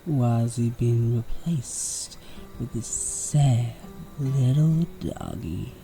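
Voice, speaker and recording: A man's voice: mid-range voice, very slow, mellow, faint music behind, low-quality recording. A man playing a menacing snake-like outlaw villain in a Western audio roleplay, with an American Southern drawl and mock-sweet taunts. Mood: taunting. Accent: American Southern drawl, Western style.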